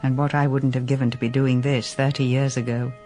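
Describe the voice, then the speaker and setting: An actress playing a poised, middle-aged English lady detective in a radio drama, measured and probing with a wry touch. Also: very deep voice, level tone, over music. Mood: gentle, insistent.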